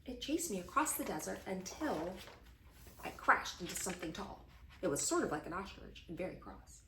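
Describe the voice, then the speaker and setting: Storybook grandpa played by a woman reader, dramatic tall-tale teller, highly varied pitch, roomy sound. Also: very animated, normal speed, pushed and bright, a little hiss or hum, full character voice. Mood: dramatic storytelling. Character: unexcitable old grandpa spinning tall adventure tales. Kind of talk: children's picture book read-aloud.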